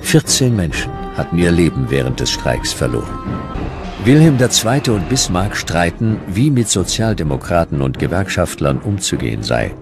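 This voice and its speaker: A man's voice: low voice, big pitch swings, moderate pace, creaky. A man narrating a historical documentary from a script. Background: music.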